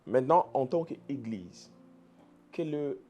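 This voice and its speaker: A man with a mid-range voice hosts a faith-themed interview. He frames his questions slowly and falters over a word before he gets going. Soft music sits faintly behind him. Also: medium pitch, expressive, lo-fi sound. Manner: frequent murmured approval, hesitant restarts when asking. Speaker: interview host.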